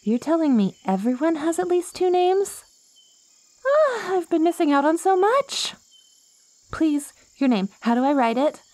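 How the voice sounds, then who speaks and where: Woman acting as unhurried, eager ancient plant girl. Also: extremely high pitch, big pitch swings, warm tone, no room sound, exaggerated voice. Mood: excited, eager. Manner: quirky, wordy, theatrical, slightly formal phrasing. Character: ancient, lonely, eager plant girl monster, clingy and curious. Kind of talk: ASMR roleplay, acted monologue to the listener.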